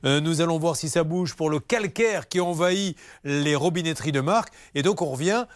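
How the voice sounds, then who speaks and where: Lively high-voiced male radio host. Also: dry recording, clean studio sound. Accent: Bordeaux French. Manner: lively, teasing, quick to joke. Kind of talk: consumer-rights radio talk show.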